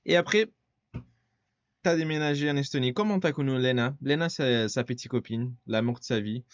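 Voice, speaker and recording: A man with a Brazilian Portuguese accent hosts a relaxed podcast chat in French, which is not his first language, often pausing to find the right word. His voice sits at an ordinary male pitch. The recording is dry and a little muffled. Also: no room sound. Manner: searching for French words, hesitant. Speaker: podcast host. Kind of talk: casual interview chat.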